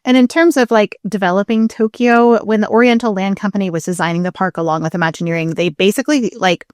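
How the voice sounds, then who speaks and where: Woman, medium pitch, dry sound, casual co-host, chatty explanations. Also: fairly even intonation, fast, no room sound. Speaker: podcast co-host.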